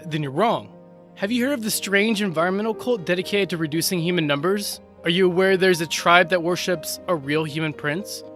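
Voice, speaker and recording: A male online video narrator with a high voice reads a comedic script. He sounds tongue-in-cheek, and soft music plays behind him.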